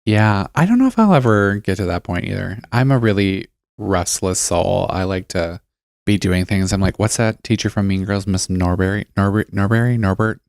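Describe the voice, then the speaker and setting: A man's voice: low voice, normal speed, vocal fry, darkish tone, no room sound, studio quality. A middle-aged man co-hosting a podcast in casual chat, playful and self-mocking, laughing often. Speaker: podcast co-host.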